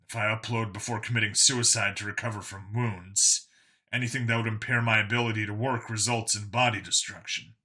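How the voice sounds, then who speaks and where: A man's voice: lowish voice, steady tone, brisk, sharp tone. A man voicing a world-weary clone cop in an audio story, burdened by guilt and explaining things matter-of-factly. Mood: grim, resigned.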